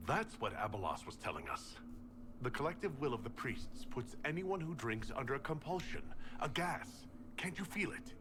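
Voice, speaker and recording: An actor playing an earnest fantasy game hero and leader, direct, probing and serious. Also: male voice, medium pitch, sing-song, moderate pace, noisy background, lightly acted. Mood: urgent.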